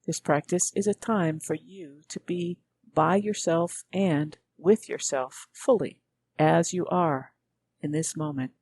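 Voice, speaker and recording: Gently spoken, husky woman guiding meditation. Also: low-pitched. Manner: gentle, unhurried, measured phrasing with pauses. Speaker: meditation teacher. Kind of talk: guided meditation narration.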